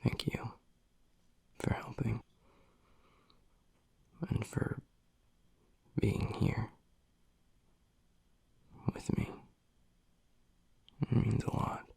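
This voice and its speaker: Man playing a very gravelly, low werewolf alpha boyfriend. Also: fairly even intonation, extremely slow, pauses often, darkish tone, no echo, in a whisper, heavily acted. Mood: tender. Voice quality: husky, gravelly, breathy low voice. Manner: blunt, dry, murmured. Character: gruff young male werewolf pack alpha, protective, secretly tender boyfriend. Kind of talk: intimate boyfriend audio roleplay, close and quiet.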